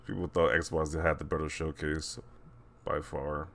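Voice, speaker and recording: A man's voice: a bass voice, flat delivery, unhurried, creaky, a bit bright. A man doing casual reaction commentary in a mocking, incredulous way. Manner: mocking, incredulous, often chuckling. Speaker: video host commentator. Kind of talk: casual commentary, reacting to social media posts.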